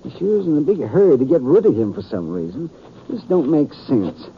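Fretful frontier cafe keeper, American accent, folksy, very lively intonation, dull noisy old radio recording. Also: male voice, a little high, fairly quick. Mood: fretful, puzzled. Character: chatty, fretful small-town cafe keeper in Old West. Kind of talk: 1950s radio western acting.

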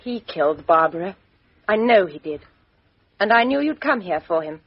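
A woman's voice: fairly low pitch, animated, moderate pace. An actress playing a bitter, defiant young English woman who speaks her mind coldly, in 1950s radio drama style. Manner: crisp, cold, outspoken. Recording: dull old radio recording.

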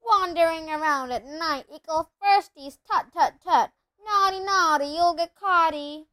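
A woman's voice: very high-pitched, a little slow, bright, forceful tone, voice pushed up, heavily acted. A woman voicing a mischievous male poltergeist in a storybook, teasing in a sing-song, mock-saintly way. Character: mischievous cackling male poltergeist, gleefully taunting.

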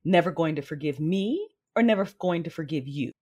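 A woman playing a conflicted, commanding Sith noblewoman in an audio drama, measured and controlled, with dramatic delivery. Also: deep voice, animated, mellow, husky. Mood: firm, commanding. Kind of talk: first-person audio drama narration and dialogue. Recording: music behind the voice.

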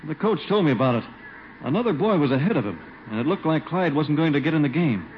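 A man plays a friendly, earnest American spectator in old radio comedy. He explains eagerly in a fast, fairly high voice. The recording is muffled. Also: lively intonation, slight background noise, lightly acted. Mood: earnest, moved. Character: earnest, friendly man watching a school football game. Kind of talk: 1950s radio comedy acting.

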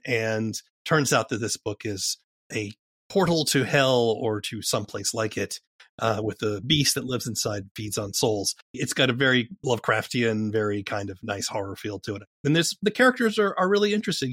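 A man working as an interviewer, asking questions and explaining in a measured way with pauses mid-phrase. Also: mid-range voice, a bit bright, no room sound. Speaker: interviewer and host. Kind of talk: interview questions and scripted-sounding summary.

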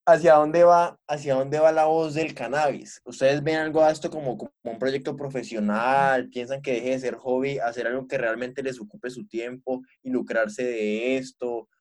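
Colombian man hosting a relaxed chat. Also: medium pitch, moderate pace, hardly any pauses. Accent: Colombian Spanish. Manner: relaxed, asks open questions, laughs often. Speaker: podcast host. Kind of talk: casual interview over a video call. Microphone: video call.

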